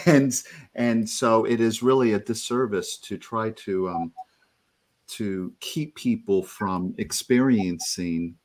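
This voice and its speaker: A man co-hosting a talk show, speaking in a reflective, halting way with frequent restarts. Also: on the low side, darkish tone. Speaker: co-host.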